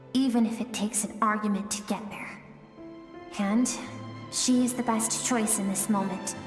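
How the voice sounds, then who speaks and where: A woman voicing a game character, the gentle suppressed emotional self of a swordswoman, speaking softly and earnestly with pleading warmth. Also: medium pitch, close to an everyday voice. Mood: earnest, resolute. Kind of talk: video game voice acting. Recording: very echoey with long reverb, music behind.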